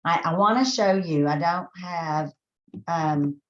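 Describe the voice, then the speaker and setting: A woman's voice: fairly low pitch, slow, echoey room, lo-fi sound. A woman from Texas with a Southern accent, presenting a teacher training webinar in a chatty way, often restarting her sentences. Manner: chatty, thinks aloud with restarts and fillers.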